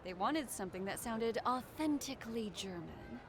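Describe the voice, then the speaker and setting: A woman's voice: a little high, animated, moderate pace, noisy background. An actress playing a wry, playful, chatty young woman in a video game. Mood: informative, wry. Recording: music behind the voice.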